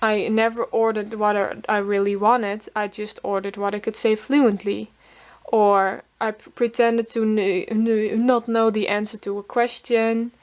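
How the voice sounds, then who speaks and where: A woman's voice: mid-range voice, level tone, a little slow. A teenage girl narrating her own story, stuttering often on first sounds of words. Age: teens. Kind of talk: pre-recorded personal narration.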